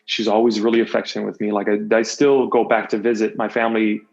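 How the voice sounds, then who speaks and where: A man's voice: fairly low pitch, level tone, quick pace, creaky. Muffled, conversational man in his thirties. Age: 30s. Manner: open, rambling, repeats phrases for emphasis. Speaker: interview guest; motivational coach, podcast host, former athlete. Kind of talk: casual podcast conversation. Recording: muffled, dull lo-fi audio.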